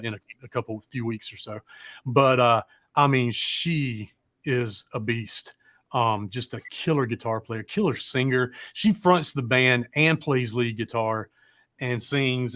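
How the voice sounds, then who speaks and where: A man's voice: mid-range voice, fairly bright tone, no echo, clean studio sound. A man co-hosting a casual chat show, rambling along in a folksy, informal way. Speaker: show co-host.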